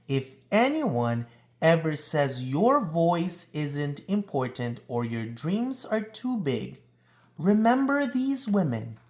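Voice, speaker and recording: A man reading a children's picture book aloud in a deliberate, expressive storytelling delivery, drawing out words for emphasis. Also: fairly high pitch. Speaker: read-aloud reader.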